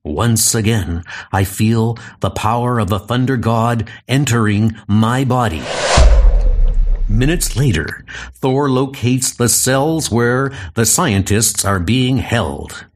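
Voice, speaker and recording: A man narrating a comic book audio drama in a theatrical, measured way, with music behind. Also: medium pitch.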